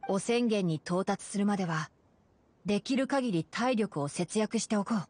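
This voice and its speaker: A woman voicing a youthful male forest ranger in an anime game, calm, sensible and caring, with a measured, gentle manner. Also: medium pitch, lightly acted. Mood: cautioning. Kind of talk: Japanese anime game voice acting.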